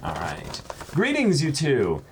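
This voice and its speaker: A man chatting casually and reading letters aloud as co-host of an unboxing, halting when he ad-libs and expressive when he reads. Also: medium pitch, vocal fry. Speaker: co-host of a reaction channel. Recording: faint background noise.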